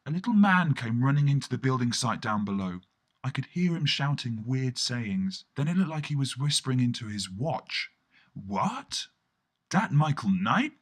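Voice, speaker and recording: A man's voice: medium pitch, big pitch swings, normal speed. A man performing a surreal first-person radio play monologue in a theatrical way, with colloquial asides and sudden exclamations. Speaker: storyteller narrating in first person.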